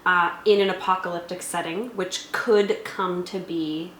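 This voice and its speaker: Woman, slightly low voice, casual explanatory game-review talk, roomy echo with faint noise behind. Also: fairly even intonation, unhurried, a bit bright. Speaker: game master and co-host reviewing a tabletop roleplaying game.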